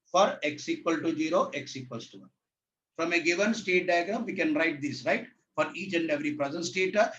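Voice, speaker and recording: A hoarse man teaches an online class through a laptop mic, with a slightly high voice that carries a roomy echo. He restates each point twice over and fills his pauses with "uh". The sound is dull, with a faint hiss behind it. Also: fairly bright tone. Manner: repeats phrases, many "uh" fillers, asks students to respond. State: hoarse from a throat infection. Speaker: lecturer. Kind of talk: online lecture.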